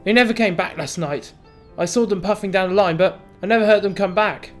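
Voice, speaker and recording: A man voicing an excitable railway shedmaster in an audio drama, talking in a hurried, breathless way. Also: high voice, soft background music, pitched above the performer's own voice, close to an everyday voice. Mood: anxious.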